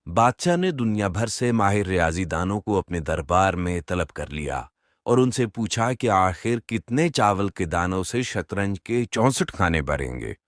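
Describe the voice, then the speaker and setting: A man narrating scripted children's stories as an expressive storyteller. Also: sits low, unhurried, no room sound, studio quality.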